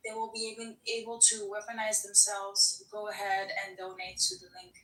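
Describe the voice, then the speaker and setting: A woman's voice: mid-range voice, little pitch movement, a little fast, pushed and bright. A woman speaking as a guest in a casual political chat. She is fiery and blunt, and she swears. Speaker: podcast guest. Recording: echoey room, far from mic.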